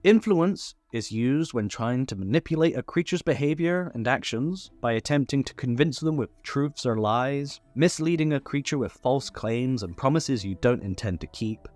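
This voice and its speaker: A man hosting a scripted tabletop game rules explainer, listing things step by step. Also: medium pitch. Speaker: tutorial host. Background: background music.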